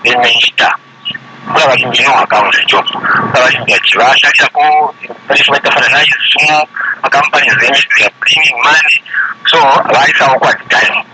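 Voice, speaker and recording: A man with a Zambian accent giving his opinion casually as a radio caller, halting and repeating himself as he switches between languages. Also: medium pitch. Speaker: phone-in caller. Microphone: phone call. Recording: very noisy phone call on radio.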